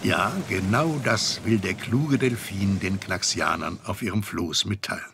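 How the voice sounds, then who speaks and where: Low, creaky male storyteller. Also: a little slow, bright, forceful tone, slight background noise. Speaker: narrator. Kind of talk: scripted storytelling for a children's audio drama.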